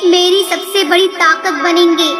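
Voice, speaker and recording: A woman's voice: very high voice, fairly even intonation, measured pace, over music. A woman voicing an evil, defiant ghost bird witch in a cartoon horror story, with a commanding, dramatic delivery. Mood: gloating.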